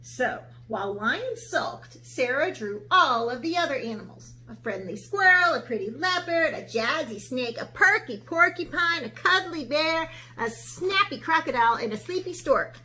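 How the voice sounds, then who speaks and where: A woman's voice: sits high, moderate pace, hardly any pauses, poor audio. A woman who teaches children's art, speaking expressively and encouragingly, as for a read-aloud or step-by-step lesson. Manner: encouraging, expressive, asks checking questions.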